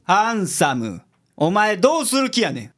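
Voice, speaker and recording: A gruff detective boss acted by a man with a Kansai accent and a notably high voice for a male. He gives blunt orders in radio drama style. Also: big pitch swings, moderate pace, fairly bright tone, full character voice. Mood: brisk, commanding. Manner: blunt, commanding.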